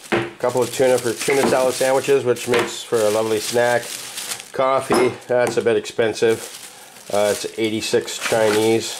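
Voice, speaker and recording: A man in his fifties, chatting casually to camera from his apartment, relaxed and rambling with plenty of "uh" fillers. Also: lowish voice, noisy background. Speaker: vlogger. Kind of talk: casual vlog chat. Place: apartment at home, indoors. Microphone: handheld camera mic, close.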